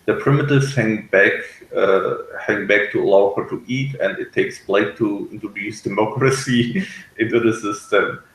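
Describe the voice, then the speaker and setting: A man reviewing a TV episode in casual, analytical discussion, speaking haltingly as he searches for words. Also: fairly low pitch, fairly bright tone, roomy sound, low-quality recording. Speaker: podcast reviewer.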